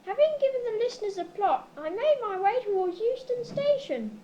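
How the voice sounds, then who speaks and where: An amateur putting on an earnest, gullible hero of a comic radio play, declaiming grandly in a very high voice that swings widely in pitch. The old cassette recording is muffled and theatrical delivery carries through it. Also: sing-song, moderate pace, mellow. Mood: dramatically earnest.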